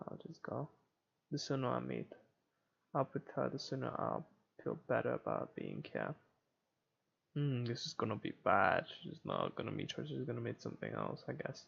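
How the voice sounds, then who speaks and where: A man's voice: medium pitch, very animated, moderate pace, gravelly, breathy edge. A man reading visual novel text aloud as a let's player, stumbling now and then and correcting himself as he goes. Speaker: video game let's player. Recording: dull lo-fi home recording, faint noise.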